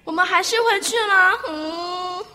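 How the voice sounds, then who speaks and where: A woman's voice: very high voice, measured pace, sharp tone, slight background noise, heavily acted. A woman acting a timid, whiny, easily frightened schoolgirl in a student radio drama, with a Taiwanese accent. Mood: nervous, pleading. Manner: whiny, pleading.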